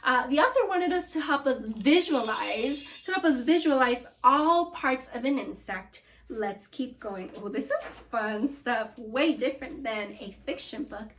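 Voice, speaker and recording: Woman, teacher, slow deliberate read-aloud, fairly high voice, calls kids scholars. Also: lively intonation, fairly bright tone, a little hiss or hum. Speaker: second grade teacher. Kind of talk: read-aloud lesson for children.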